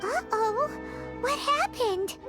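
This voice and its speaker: Tiny, extremely high squeaky companion. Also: female voice, big pitch swings, takes it slowly, exaggerated voice. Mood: startled. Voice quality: squeaky, childlike. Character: tiny childlike floating companion, squeaky, curious, excitable girl. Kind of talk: cartoonish video game voice acting. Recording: loud music behind the voice.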